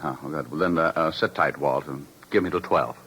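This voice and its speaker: An actor playing a wry, easygoing private detective with glib, hesitant delivery in 1950s radio drama style. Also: male voice, extremely low pitch, a little fast, a bit bright, a little hiss or hum. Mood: uneasy.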